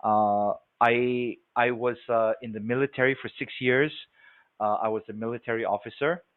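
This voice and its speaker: A man's voice: mid-range voice, fairly even intonation, normal speed, clear, resonant tone. Man from Singapore on a tinny line. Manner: conversational, frequent check-ins with listeners. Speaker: sales trainer. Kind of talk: live webinar training talk. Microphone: video call. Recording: tinny phone-like audio.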